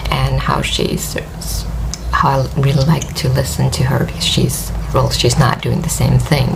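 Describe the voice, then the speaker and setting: Woman, Finnish accent, low and croaky, worn out, halting with fillers, audible background noise. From Finland. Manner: hesitant, rambling with many fillers and soft laughs. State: tired, voice worn. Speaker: knitting podcast host. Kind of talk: casual vlog chat.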